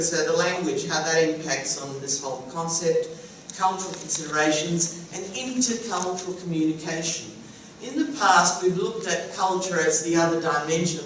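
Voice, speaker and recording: A woman's voice: low voice, distant mic. A middle-aged woman from Sicily, with Sicilian as her first language, giving a chatty, wry conference talk as an educator. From Sicily, Italy. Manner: chatty, wry, laughs at her own jokes. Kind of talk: conference presentation. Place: conference room. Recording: very echoey hall, voice from afar, faint hum.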